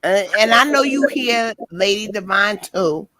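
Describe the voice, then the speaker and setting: A woman's voice: mid-range voice, animated, a little slow, pushed and bright, poor audio. A woman on a casual chat panel, talking in slangy, dramatic exclamations. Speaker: panel guest.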